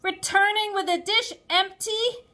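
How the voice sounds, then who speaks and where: A woman voicing a loud, exasperated mother scolding her young son in a storybook reading. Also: very high-pitched, steady tone, unhurried, pitched above the performer's own voice, exaggerated voice.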